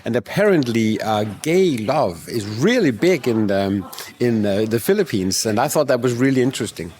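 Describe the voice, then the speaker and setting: A man's voice: mid-range voice, lively intonation, moderate pace, a little mellow. A man co-hosting a podcast in a casual chat, speaking with lots of hesitant fillers and real enthusiasm.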